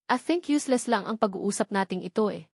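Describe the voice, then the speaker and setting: A woman's voice: mid-range voice, animated, a little fast, vocal fry, slightly rough, no room sound, clean studio sound. A woman voicing a feisty, defiant young fiancée in a romance audio novel, indignant and argumentative. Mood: angry.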